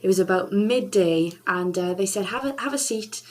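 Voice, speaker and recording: A woman's voice: medium pitch, normal speed, darkish tone. Bubbly woman singer, laughs often. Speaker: singer and charity ambassador. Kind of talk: casual interview chat.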